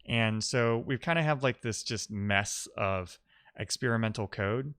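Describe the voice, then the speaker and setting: Low, clean-voiced man thinking aloud. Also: unhurried, fairly bright tone, clear, resonant tone, dry recording, clean studio sound. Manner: informal, reading and answering chat messages aloud. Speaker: live-streaming programmer, host. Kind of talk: casual live-coding stream chat, thinking aloud.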